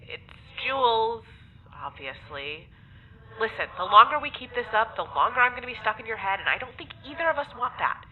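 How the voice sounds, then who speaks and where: A woman's voice: a little high, sing-song, brisk. An actress playing a sarcastic, abrasive and unrepentant woman in an audio drama, delivered dryly and bluntly. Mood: stubborn. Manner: dry, sardonic, blunt. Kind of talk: naturalistic audio drama acting. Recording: muffled lo-fi sound with clear noise.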